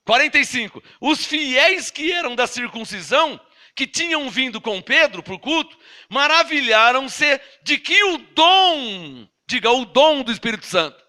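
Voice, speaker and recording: Bright, high-pitched Brazilian preacher man. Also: big pitch swings, a little slow. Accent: Brazilian Portuguese. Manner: emphatic, scolding, with rhetorical questions to listeners. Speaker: pastor. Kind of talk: sermon.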